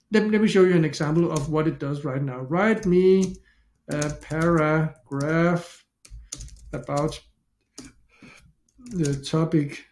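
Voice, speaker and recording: A man's voice: fairly high pitch, a little mellow, slight background noise. Danish-accented man, echoey room. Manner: chatty, self-interrupting, thinks aloud with restarts. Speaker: SEO tutorial host. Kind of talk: casual screen-share tutorial.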